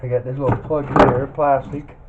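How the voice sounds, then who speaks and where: A man's voice: medium pitch, flat delivery, moderate pace. A plainspoken, folksy man talking casually through a hands-on scrapping tutorial, muttering to himself as he works. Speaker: scrap metal hobbyist demonstrating teardown. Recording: muffled phone-like audio, loud background noise.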